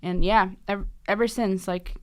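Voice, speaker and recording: A woman's voice: medium pitch, fairly even intonation, unhurried, a little mellow. A young woman in her twenties from Brazil, speaking English, chatting casually and giggling as co-host. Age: 20s. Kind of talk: casual chat.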